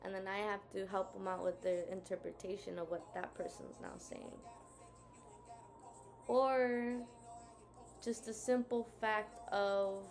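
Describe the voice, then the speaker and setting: Chatty female co-host telling everyday stories at a slow, gravelly pace. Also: medium pitch. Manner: chatty, storytelling. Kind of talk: casual talk sharing examples.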